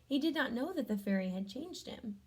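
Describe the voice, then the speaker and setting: A woman reading a storybook aloud to children, adding gentle chatty comments and questions for young listeners. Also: on the high side, expressive, fairly quick, husky. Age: adult.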